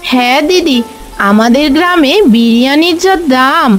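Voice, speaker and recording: A woman's voice: sits high, normal speed, a bit bright, faint music behind. A woman voicing a kindly, welcoming village sister-in-law who runs a small eatery in a cartoon fairy tale.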